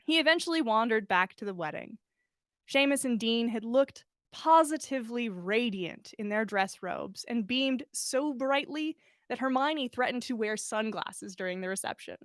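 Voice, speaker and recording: A woman reading fan fiction aloud as expressive story narration, animated, with the odd stumble and self-correction. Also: fairly high pitch, normal speed, no room sound, clean studio sound. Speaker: podcast host reading listener fan fiction.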